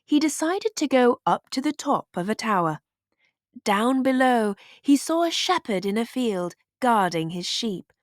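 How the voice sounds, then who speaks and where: Slow, lively woman storyteller. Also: on the high side, no echo, studio quality. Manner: expressive, deliberate storytelling. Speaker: storybook narrator. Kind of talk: children's read-aloud narration.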